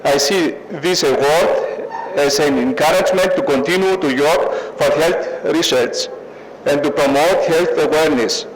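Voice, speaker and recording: A man's voice: fairly high pitch, unhurried, a crowd in the background. A man from Europe gives a formal, ceremonious acceptance speech as an award recipient. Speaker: award recipient, health researcher. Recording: echoey hall, faint noise.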